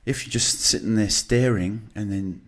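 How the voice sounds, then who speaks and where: Low-voiced man giving halting spiritual advice. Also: fairly even intonation. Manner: halting, repeats "okay" as a check. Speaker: psychic host giving live readings. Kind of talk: live advice to callers, casual spiritual talk.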